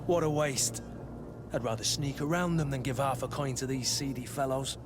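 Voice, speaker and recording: An actor playing a blunt, world-weary but loyal mercenary bodyguard in a video game, with dry delivery. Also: male voice, mid-range voice, moderate pace, background noise, natural voice. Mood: wary. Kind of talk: video game cutscene acting.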